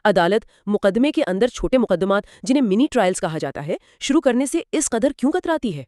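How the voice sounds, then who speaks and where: A woman's voice: medium pitch, very animated, brisk, dark tone, no room sound, studio quality. A woman co-hosting a conversational legal explainer, asking questions, reacting and summing up points. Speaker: co-host leading with questions.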